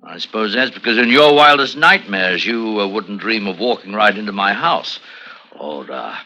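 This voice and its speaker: An actor playing a middle-aged professor who is a coolly menacing spy chief, urbane and deliberate, in a 1950s radio thriller. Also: male voice, fairly low pitch, expressive, bright, forceful tone, exaggerated voice. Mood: smug, menacing. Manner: urbane, deliberate, savouring pauses. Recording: muffled, noisy old radio recording with clicks.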